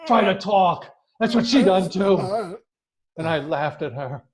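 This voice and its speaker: A man's voice: high-pitched, animated, a little slow, crowd noise. An actor playing a hot-tempered, vengeful gangster henchman in old radio drama, talking in tough-guy slang. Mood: vengeful, unhinged. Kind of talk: 1940s radio drama acting.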